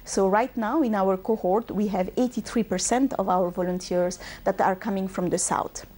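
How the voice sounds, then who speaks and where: A woman's voice: medium pitch, fairly even intonation, normal speed, warm tone. A woman from Bangladesh who grew up in Belgium, a UN regional manager answering interview questions fluently, with frequent ums and uhs. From Bangladesh, raised in Belgium.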